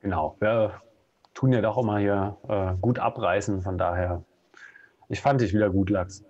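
A man in his thirties with a Berlin accent, co-hosting a casual live stream from home, relaxed and full of hesitations. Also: lowish voice, normal speed, slightly rough. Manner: relaxed, many fillers and hesitations. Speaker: co-host. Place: home room with bookshelf. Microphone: video call webcam. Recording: dull lo-fi video call audio.

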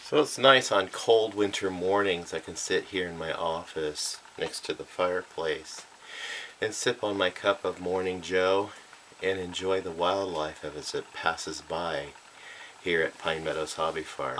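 A middle-aged man hosting his homestead channel, talking in an easygoing, unhurried way from a cozy home office by the fireplace, recorded by a camera across the room. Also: sits low, steady tone, a bit bright, a little hiss or hum, low-quality recording. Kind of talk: relaxed vlog talk to camera.

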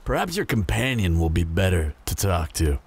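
Man acting a smug, husky, low-voiced bandit. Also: sing-song, moderate pace, heavily acted. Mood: smug, taunting. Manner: taunting, drawling, mock-friendly. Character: menacing, smug male bandit in a zombie apocalypse. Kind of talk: audio roleplay acting.

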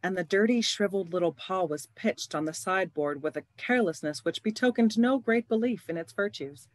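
A woman's voice: medium pitch, animated, fairly quick, dry recording. A woman narrating a radio play in a scripted storytelling style.

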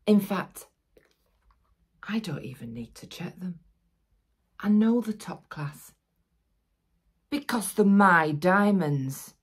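Older actress doing a low husky lady crime boss, cold n threatening, dramatic monologue. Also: animated, exaggerated voice. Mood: smug, controlled. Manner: slow, deliberate, dropping to menacing near-whispers. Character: menacing older female crime boss, coldly controlled and contemptuous. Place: small plain-walled room indoors.

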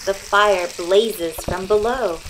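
A woman reads a picture book aloud in a mid-range voice. Her pace is very slow and measured. She phrases each short line deliberately and expressively, leaving space between them. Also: mid-range voice, slight background noise, low-quality recording. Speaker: read-aloud narrator.

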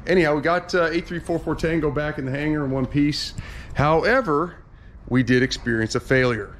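A man's voice: on the high side, fairly quick, a little hiss or hum. A man in his forties, a pilot vlogging to a handheld camera in a hangar at night, explaining in a chatty, rambling way. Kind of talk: casual vlog to camera. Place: aircraft hangar at night, indoors. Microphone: handheld action camera at arm's length.

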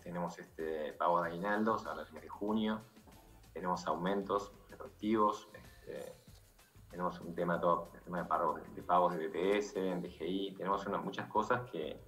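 A middle-aged Uruguayan man with a very low, deep voice speaks earnestly over a video call. His words come through an echoey, roomy connection. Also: fairly even intonation, normal speed, fairly bright tone, faint music behind. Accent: Uruguayan Spanish. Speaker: bar owner. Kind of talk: remote interview guest.

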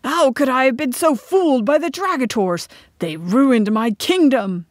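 A woman's voice: high voice, a little slow, dry recording, clean studio sound, pitched above the performer's own voice. A woman voicing a selfish, pompous deposed king in a storybook, spluttering and exclaiming as he blusters. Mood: furious, desperate. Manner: spluttering, exclaiming, stammering repeats.

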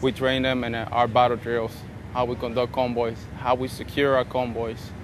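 A man's voice: medium pitch, little pitch movement, moderate pace. Male soldier in a noisy spot. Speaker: soldier interviewee. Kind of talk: casual interview. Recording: noisy outdoor recording.

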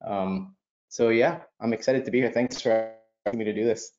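A man's voice: fairly low pitch, moderate pace, a little mellow, roomy sound, highs cut off. A man introducing himself on a video panel as a coffee roastery owner, casual and laid-back.

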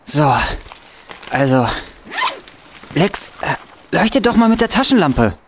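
A man's voice: on the high side, very animated, slow, fairly bright tone, slight background noise, exaggerated voice. A young man playing a wry, hesitant student sidekick who grumbles, in a radio play. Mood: hesitant bravado.

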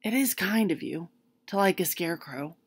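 A woman's voice: on the low side, expressive, normal speed, slightly rough, voice pushed up. A woman voicing a cheerful, eager male scarecrow of straw, earnest and hopeful, in a storybook reading.

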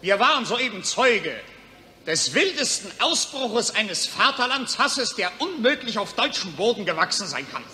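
A man's voice: very high-pitched, bright, forceful tone, heavily acted. A man acting a pompous, jingoistic psychiatrist presiding over colleagues in a declamatory 1940s radio play style. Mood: indignant. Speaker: actor. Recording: 1940s radio recording, long hall reverb.

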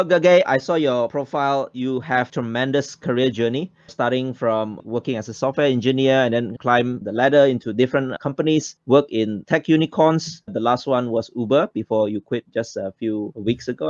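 A male podcast host speaks at a medium pitch, introducing and interviewing. The recording is close and dry, with little room sound. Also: dry recording, dull audio.

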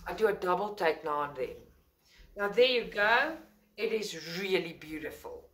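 A woman's voice: fairly low pitch, animated, moderate pace, fairly bright tone. Chatty South African woman unboxing crafts. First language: Afrikaans. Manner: chatty, enthusiastic, rambling with self-corrections. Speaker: craft channel host. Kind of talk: casual unboxing talk to camera. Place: indoors at a table, filming overhead.

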